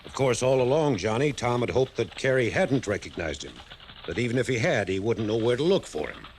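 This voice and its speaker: A man's voice: medium pitch, lively intonation, a little fast, a little hiss or hum, natural voice. Man acting as earnest American client, mid-range voice, emphatic storytelling, worried, 1950s radio drama style. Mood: insistent, worried.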